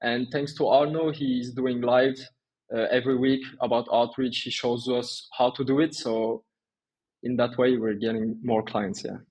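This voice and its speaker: A man's voice: mid-range voice, steady tone, a little slow, a little mellow, husky, poor audio. A man, answering interview questions in a conversational way. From Turkey and France. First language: Turkish and French. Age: 20s. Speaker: interview guest, small agency owner.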